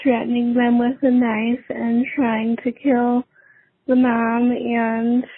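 A woman caller talks slowly and casually over a tinny phone line. Her high, husky voice is halting and downbeat. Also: monotone. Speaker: caller leaving a message. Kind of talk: casual chat.

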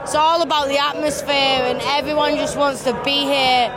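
Woman, warrington accent, quick eager interjections, high voice, crowd noise. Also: flat delivery, rough recording. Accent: English, Warrington. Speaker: football fan interviewed. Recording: crowd behind.

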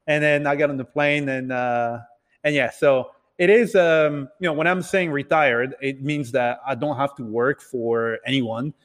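A man's voice: mid-range voice, steady tone. Man talking in a reverberant space. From Italy and several other countries. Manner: hesitant, halting delivery with repeated words. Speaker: podcast guest, entrepreneur. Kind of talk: casual interview storytelling. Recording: reverberant, hall-like room sound.

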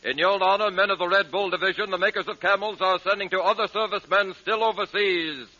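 A man's voice: sits high, fairly even intonation, quick pace, pushed and bright. A man giving a solemn, declamatory tribute in 1940s radio announcing style. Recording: noisy muffled 1940s radio recording.

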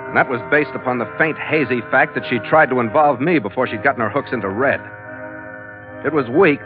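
An actor playing a terse, wry, world-weary ship's skipper in an old hardboiled radio drama. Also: male voice, mid-range voice, lightly acted. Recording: muffled vintage radio recording.

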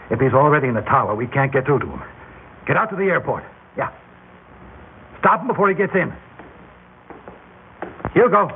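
An American actor playing a panicky, bossy male crook with urgent, stammering pleas in an old radio drama. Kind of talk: 1960s radio drama acting. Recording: noisy vintage radio, tinny, vehicle rumble.

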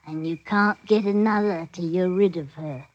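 An actress playing a bold, resentful young servant wench in old-time radio drama, with rustic, archaic phrasing. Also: female voice, on the low side, moderate pace, close to an everyday voice. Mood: coolly goading. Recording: muffled vintage radio recording.

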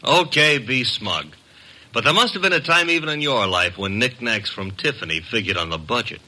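An actor in a classic radio drama playing a brash, wisecracking young detective's assistant, breezy, sardonic and slangy. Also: male voice, medium pitch, expressive, moderate pace, pushed and bright. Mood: wry teasing. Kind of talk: 1940s-50s radio drama acting. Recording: noisy vintage radio recording.

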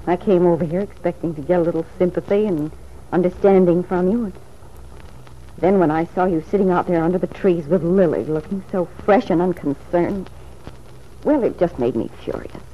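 An actress playing an anxious, tearful married woman in love, speaking earnestly and haltingly in an old radio soap opera. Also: fairly low pitch, natural voice. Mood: wounded, confiding. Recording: dull, hissy old radio recording.

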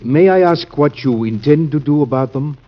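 An actor playing a grave, earnest visitor in an old-time radio drama, formal and polite. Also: male voice, fairly high pitch, normal speed, close to an everyday voice. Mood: earnest pleading. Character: earnest, secretive Spanish gentleman, grave and pleading visitor. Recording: muffled vintage radio recording.

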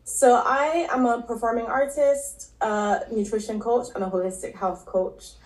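A woman from Barbados, a podcast guest and health coach, telling her story in a relaxed, casual way with frequent ums and likes. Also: a little high, moderate pace. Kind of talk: casual interview talk. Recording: echoey room.

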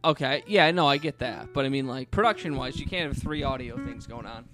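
A man's voice: medium pitch, a little fast, over music. A man co-hosting a podcast, bantering casually in a joking, blunt and often profane way. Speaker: podcast co-host.

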